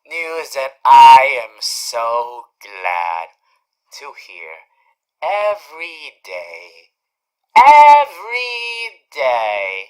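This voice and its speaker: A performer voicing a doting, teasing male radio demon in an ASMR roleplay, speaking in a theatrical, courtly way with drawn-out emphasis. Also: mid-range voice, big pitch swings, clear, resonant tone. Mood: delighted. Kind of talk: ASMR character roleplay to the listener.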